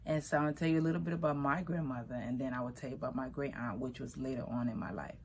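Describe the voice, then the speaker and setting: A middle-aged woman from Liberia telling stories about her grandmother in a relaxed, conversational way. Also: very deep voice, rapid-fire, a little hiss or hum. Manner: relaxed, conversational, shifting pace, Liberian English phrasing. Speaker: vegan food business owner. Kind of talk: personal storytelling.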